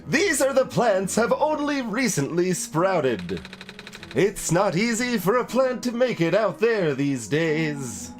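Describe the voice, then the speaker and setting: Man voicing a slow, musing talking potted plant. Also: sits high, very animated, faint music behind, pitched above the performer's own voice, heavily acted. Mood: wistful, gentle. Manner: slow, drawn-out, wistful musing delivery. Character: gentle plant expert, a talking potted plant in shirt.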